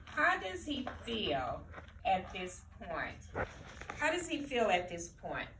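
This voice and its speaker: A woman's voice: medium pitch, huge pitch swings, slow pace. A woman teacher leading a read-aloud lesson, posing questions to children and repeating them to prompt answers. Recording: echoey room, distant mic, faint noise.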